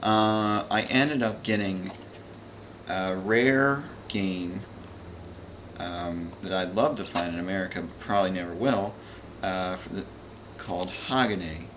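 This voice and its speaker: Deep-voiced man rambling casually. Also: slow, background noise. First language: English. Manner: rambling, many fillers and restarts. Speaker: video game collector vlogger. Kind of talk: casual unscripted show-and-tell.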